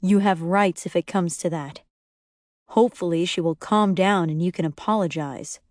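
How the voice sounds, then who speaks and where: A woman voicing a calm, reasonable young man backing up his friend. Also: on the low side, normal speed, dry recording, studio quality, close to an everyday voice.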